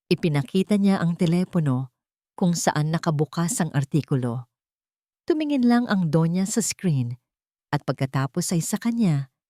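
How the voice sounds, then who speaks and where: Female storyteller, lowish voice, dry close sound, steady dramatic read. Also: no room sound. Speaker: narrator. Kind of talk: dramatic scripted story narration.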